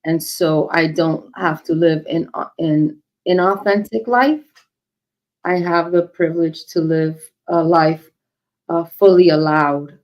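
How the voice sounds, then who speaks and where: A woman in her forties, originally from New York, speaks as a guest on a casual podcast. Her voice sits a little low, and she talks in a calm, measured way, laying out her thoughts in steady statements. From New York City, raised in northern New Jersey. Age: 40s.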